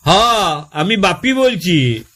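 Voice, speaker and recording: A man's voice: high voice, big pitch swings, slow pace, bright, forceful tone, a little hiss or hum, heavily acted. A man playing an aggrieved village husband in a comic phone-call skit, telling long-winded, folksy complaints in a rural West Bengal accent. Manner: folksy, long-winded storytelling with exasperated repetition. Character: aggrieved village husband complaining about his new fridge.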